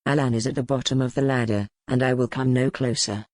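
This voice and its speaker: A woman's voice: a bass voice, steady tone, fairly quick, a little mellow, no room sound, studio quality, pitched below the performer's own voice. A woman voicing curt, proud medieval noblemen in an audiobook romance. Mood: curt, scornful. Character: curt, proud medieval duke and his loyal man.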